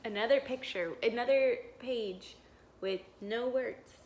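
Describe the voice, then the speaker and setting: A woman teacher reading a storybook aloud to young children in an expressive, encouraging way, pausing to ask them questions. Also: on the high side, dull audio, slight background noise.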